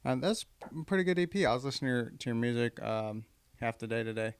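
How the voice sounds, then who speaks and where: Quick-talking man with a smooth voice. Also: medium pitch, lively intonation. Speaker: podcast host. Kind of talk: casual podcast interview.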